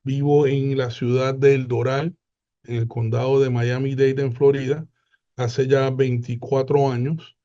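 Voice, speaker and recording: A man, presenting a webinar in an unhurried way with frequent hesitant fillers over a video call. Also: medium pitch, level tone, dark tone, highs cut off. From Puerto Rico.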